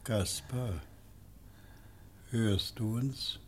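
An actor playing a grave, sober man at a deathbed in a radio drama, speaking in a measured way. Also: medium pitch, darkish tone, slight background noise. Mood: concerned.

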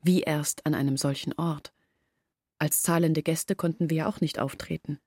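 Earnest village woman, literary radio drama, measured and candid, lower register, close dry recording. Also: fairly even intonation, darkish tone, husky, no room sound. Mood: earnest explaining. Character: earnest village woman confessing her family's struggle.

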